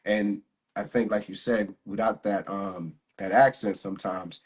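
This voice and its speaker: Husky low-voiced man, relaxed chat. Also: a little slow. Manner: relaxed, laughing, slangy, repeats words. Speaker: jiu-jitsu instructor and host. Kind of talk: casual live interview chat.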